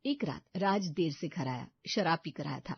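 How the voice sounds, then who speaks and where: A woman's voice: sits low, animated, moderate pace, vocal fry, slightly rough, no echo, highs cut off. A woman narrating a scripted moral story as its storyteller.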